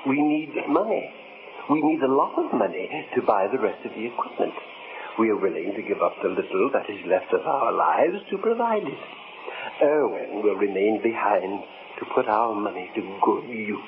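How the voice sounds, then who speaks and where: The old crackpot professor in a 1950s radio thriller, preaching doom with grand fervor out of a thin, hissing set. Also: male voice, lowish voice, sing-song, moderate pace, phone-quality sound. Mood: earnest. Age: older. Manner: grandiose, oratorical, fervent. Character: earnest elderly doomsday crank, former professor. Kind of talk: 1950s radio drama acting.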